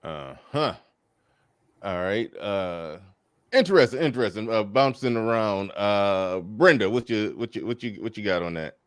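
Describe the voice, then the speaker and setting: Guy hosting a panel talk, low voice, loose and relaxed, stumbles over repeats. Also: a little slow, no echo, clean studio sound. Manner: relaxed, repeats words when thinking aloud. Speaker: host. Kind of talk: casual panel talk show.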